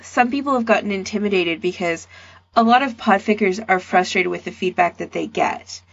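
A woman's voice: medium pitch, moderate pace, gravelly. A woman talking casually in a podcast discussion about fan audio. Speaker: podcast speaker, fan podficker. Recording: dull lo-fi voice recording.

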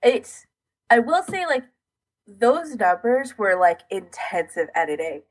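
A woman's voice: on the high side, very animated, takes it slowly, slightly rough, low-quality recording. A woman co-hosting a podcast in casual chat, recounting things in detail with a self-deprecating streak. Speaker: podcast co-host.

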